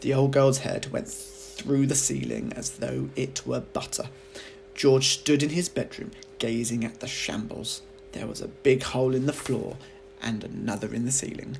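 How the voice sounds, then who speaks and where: A man reading a children's story aloud like a teacher, with expressive narration. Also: mid-range voice, vocal fry, slight background noise, poor audio.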